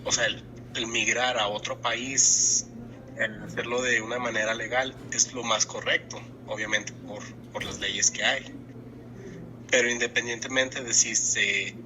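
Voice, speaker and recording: A man, answering interview questions in a casual, thoughtful way, often pausing and restarting to find his words. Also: mid-range voice, noisy background. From Mexico-US border. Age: 20s. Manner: thoughtful, pauses and restarts while searching for words.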